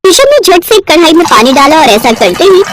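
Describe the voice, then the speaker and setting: A woman's voice: extremely high pitch, sing-song, fairly quick, fairly bright tone, a little hiss or hum. A woman narrating a scripted children's story expressively, as a storyteller.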